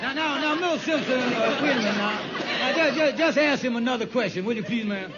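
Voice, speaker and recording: A man's voice: very high voice, brisk, a loud crowd. An actor playing a blustering, bossy con man who wheedles and stammers in old-time radio sitcom style. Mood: soothing, wheedling. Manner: wheedling, hesitant stammering, scolding his dupe. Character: scheming fast-talking male con man, bossy and blustering. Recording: muffled noisy vintage radio recording.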